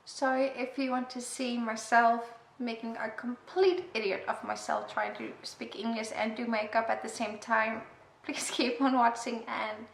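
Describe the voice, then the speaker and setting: A woman's voice: on the high side, steady tone, a little slow, vocal fry, hardly any pauses. A young woman with an Icelandic accent, English her second language, talking casually through a makeup tutorial with chatty, self-deprecating asides. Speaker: makeup vlogger. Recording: echoey room with faint hiss.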